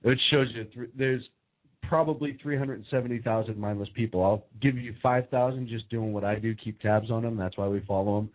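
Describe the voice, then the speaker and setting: A wry, joking American man trades sarcastic stories with his co-host and keeps laughing at his own jokes. His voice sits at an ordinary middle pitch. It comes through a narrow, phone-like band. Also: steady tone, fairly quick. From United States. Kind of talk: casual political talk banter.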